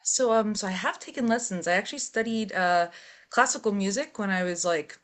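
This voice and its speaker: A young adult woman, a metal singer, talking openly as an interview guest in a relaxed, chatty way with frequent fillers. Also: mid-range voice, a little fast. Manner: relaxed, open storytelling with fillers. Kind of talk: casual interview chat.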